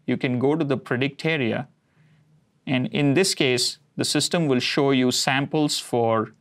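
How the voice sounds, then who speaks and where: A man in his forties whose first language is Konkani, presenting a cloud product demo in a steady, explanatory way with occasional fillers. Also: medium pitch. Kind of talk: scripted tech demo narration. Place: studio set with map backdrop.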